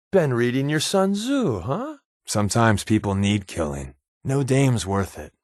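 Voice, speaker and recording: An actor voicing a sly, sardonic undercover agent as a video game companion, glib and conversational with dry sarcasm. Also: male voice, lowish voice, no room sound.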